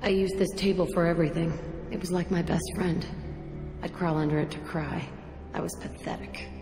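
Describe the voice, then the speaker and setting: A woman's voice: on the low side, fairly even intonation, normal speed, over music. A woman playing a hardened, foul-mouthed, wounded young ex-prisoner in a video game, blunt and defiant in delivery. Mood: bitter, haunted. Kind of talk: video game cutscene acting. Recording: echoey hall, clear background noise.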